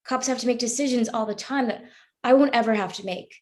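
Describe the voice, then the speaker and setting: A woman's voice: on the high side, fairly quick, darkish tone, close to an everyday voice. A woman playing a morally troubled woman who questions a cop earnestly and persistently in a live play reading. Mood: conciliatory.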